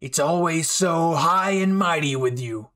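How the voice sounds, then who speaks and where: Casting: man to play bitter, unhinged male anime villain. Slow, taunting, volatile, in roleplay audio. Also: on the high side. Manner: menacing, taunting, swinging from quiet to outbursts.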